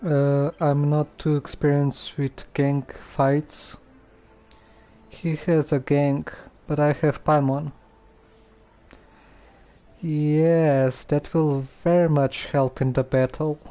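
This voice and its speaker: A man casually live streaming a game, reading dialogue aloud and commenting in a halting, musing way. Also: fairly high pitch, warm tone. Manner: halting, musing, repeats phrases.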